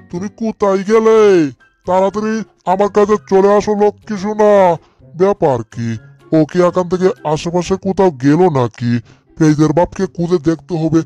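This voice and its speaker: Man as a fretful parent crocodile, high, mellow. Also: natural voice. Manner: self-narrating, fretting. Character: doting, fretful parent crocodile fussing over babies. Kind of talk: cartoon dub in Bangla.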